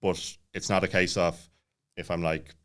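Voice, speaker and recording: A man's voice: sits low, little pitch movement, unhurried, a bit bright. A man in his late thirties telling a personal story casually, rambling and jumping around with lots of filler words. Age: late 30s. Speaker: podcast guest.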